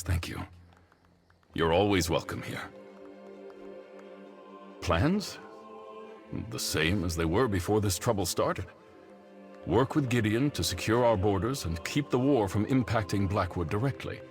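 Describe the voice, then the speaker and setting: Dignified male statesman for a fantasy game: deep, sincere, formal delivery. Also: lively intonation, unhurried, long pauses, soft background music. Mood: grateful, resolute. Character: earnest, grateful male leader, dutiful and dignified. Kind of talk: scripted video game dialogue.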